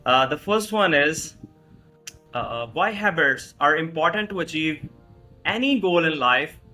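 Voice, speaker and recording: A man's voice: fairly high pitch, animated, unhurried, pushed and bright, faint music behind. A man hosting a podcast, introducing a guest and asking questions in a formal way with hesitant fillers. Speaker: podcast host.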